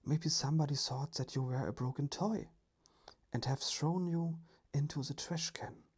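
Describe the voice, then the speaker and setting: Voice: medium pitch, normal speed, darkish tone, pitched above the performer's own voice, lightly acted. A storyteller as Mike, a whispery, considerate male. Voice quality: breathy, whispery. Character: Mike, friendly, considerate family member, male. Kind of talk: ASMR storybook reading.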